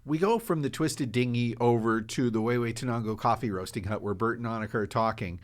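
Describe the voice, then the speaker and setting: A man's voice: mid-range voice, fairly quick. Man chatting casually on a panel. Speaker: podcast panelist from a show's production team. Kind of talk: casual discussion.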